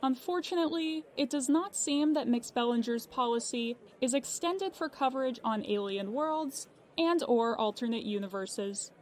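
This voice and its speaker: An actress playing a polite, unflappable insurance claims agent in an audio drama, precise and businesslike. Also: female voice, high voice, moderate pace, few pauses, close to an everyday voice. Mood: matter-of-fact. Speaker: claims agent on a phone call.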